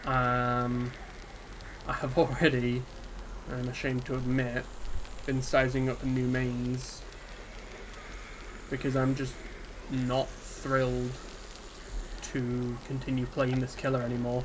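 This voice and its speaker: A man giving an unscripted, subdued and hesitant rant about a game, sounding dejected and pausing often on ums. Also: medium pitch. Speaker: gaming video creator. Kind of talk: unscripted solo rant to camera. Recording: steady background ambience hiss.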